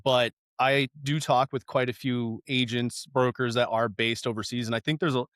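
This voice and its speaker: A man's voice: mid-range voice, fairly even intonation, normal speed, a bit bright, clear, resonant tone, no echo, studio quality. A man hosting a podcast, asking questions in a casual, conversational interview style. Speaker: podcast host.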